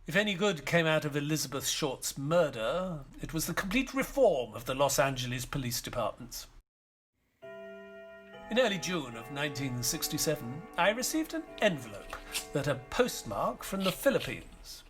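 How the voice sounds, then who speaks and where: An actor playing an elderly retired doctor and author in an audio drama, narrating in a measured, solemn, wistful way. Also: male voice, fairly high pitch, very animated, music in the background. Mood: reflective, solemn. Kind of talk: dramatic first-person scripted narration.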